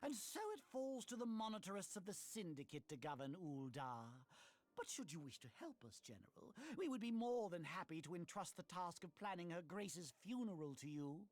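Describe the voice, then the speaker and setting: Pompous scheming aristocrat, swooping delivery. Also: male voice, high voice, sing-song, moderate pace. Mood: smug. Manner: pompous, mock-courteous, sneering. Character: smug scheming aristocratic male politician, small and wealthy. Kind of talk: theatrical game cutscene acting.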